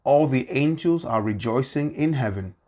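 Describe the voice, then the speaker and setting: Guy doing spoken word like a boarding announcement, medium pitch, slow deliberate preachy delivery. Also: lively intonation, a little mellow.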